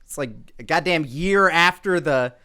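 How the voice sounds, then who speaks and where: A man's voice: a little high, moderate pace, sharp tone, clean tone. A man giving casual reaction commentary on his own video, rambling and self-critical.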